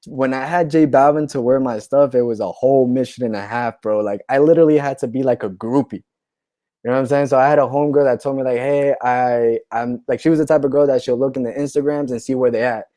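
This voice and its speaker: A man talks loosely and at length as a guest, circling back with small asides and checks for agreement. His voice is mellow and middle-pitched, and he sounds a little tipsy from the wine. The sound is dry, with no room echo. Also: quick pace. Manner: relaxed, rambling storyteller, says "you know" and "right?" a lot. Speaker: clothing brand founder, guest. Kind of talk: casual conversation.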